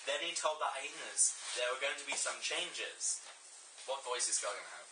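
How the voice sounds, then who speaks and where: Woman, low animated voice, giggly story reader, echoey room, away from the mic, noisy background. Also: normal speed. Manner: playful, giggly. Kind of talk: reading a children's story aloud to friends. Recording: roomy, distant, noisy home recording.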